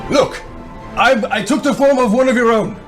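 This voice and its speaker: A man's voice: very high voice, normal speed, faint music behind. A man playing a tabletop role-playing game, moving between table chat and in-character speech.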